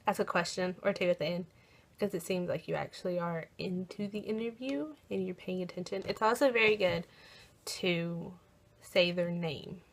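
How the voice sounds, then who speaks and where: A young American woman chatting casually in a vlog, often pausing as she tries to recall things. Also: on the low side. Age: young adult. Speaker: college program applicant vlogger.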